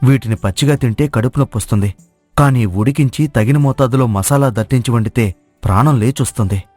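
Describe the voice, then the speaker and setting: Male actor as honest village cook, mid-pitched, earnest, plain-spoken, storybook drama, faint music. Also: a little fast, darkish tone, dry recording, lightly acted. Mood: caring. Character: humble, kind-hearted village cook, honest family man. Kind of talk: moral story voice acting. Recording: dry studio voice over music.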